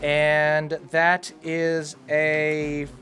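Relaxed man running a tabletop game. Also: a little high, extremely slow, slight background noise. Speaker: game master.

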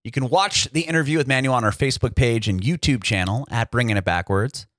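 A man's voice: mid-range voice, fast talker, fairly bright tone, no room sound, studio quality. A man from San Diego hosting a music podcast, chatty and upbeat, with lots of fillers and restarts. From San Diego, United States. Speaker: podcast host. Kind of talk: casual interview chat and scripted intro.

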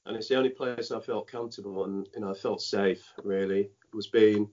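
A middle-aged man who grew up in Dominica, speaking patois as a first language, tells stories from his past in a halting, reflective way, full of "you know" and restarts. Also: on the low side, fairly even intonation, darkish tone. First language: Dominican French patois. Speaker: former cricketer, guest. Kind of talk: reflective interview storytelling. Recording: dull lo-fi sound.

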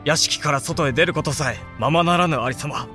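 A man's voice: fairly high pitch, a little fast, sharp tone, soft background music. A man acting an earnest young samurai heir in a period voice drama, urgent, formal and emphatic. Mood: pleading.

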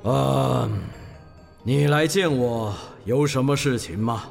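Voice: medium pitch, slow pace, vocal fry, a little mellow, pitched below the performer's own voice. A storyteller playing an imperious, easily angered male Emperor of Heaven in a children's tale, with a commanding, theatrical manner. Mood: stern, composed. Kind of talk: children's storybook character acting. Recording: long hall reverb over music.

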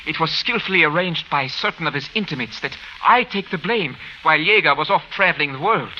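A man's voice: fairly high pitch, expressive, brisk, pushed and bright. A male actor playing an anxious, earnest wronged man in old radio drama, speaking formally and pleading with careful phrasing. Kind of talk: 1940s-50s radio drama acting. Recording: muffled old radio recording, faint noise.

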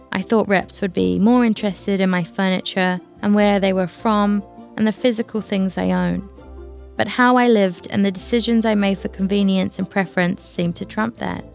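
A woman's voice: medium pitch, gravelly, darkish tone. A woman reading her own feature article as a scripted narration, as a journalist.

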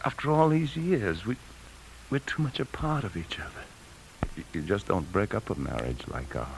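An old, hissy 1950s radio recording of a desperate American husband. His low voice swings widely as he argues and justifies himself, with a forceful edge. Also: lively intonation, vocal fry. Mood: pleading. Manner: argumentative, emotional, halting under strain. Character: desperate husband who stole from his bank, self-justifying. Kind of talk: 1950s radio drama acting.

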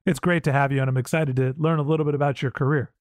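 A man's voice: on the high side, fairly even intonation, racing, a little mellow, dry recording. A man hosting a podcast who reads an upbeat intro and then asks friendly questions. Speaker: podcast host.

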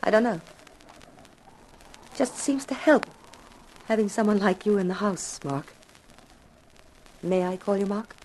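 A self-possessed young widow acted by a woman in a fifties radio mystery. She confides with cool frankness and an intimate manner, at a middling pitch. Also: unhurried, pauses often, a little mellow, a little hiss or hum, close to an everyday voice, rough recording. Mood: confiding, inviting. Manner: candid, intimate. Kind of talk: 1950s radio mystery acting.

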